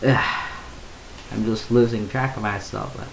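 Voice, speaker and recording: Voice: medium pitch, fairly even intonation, unhurried, gravelly, low-quality recording. A video game YouTuber reading out news and reacting casually, rambling and hesitant at times, then emphatic.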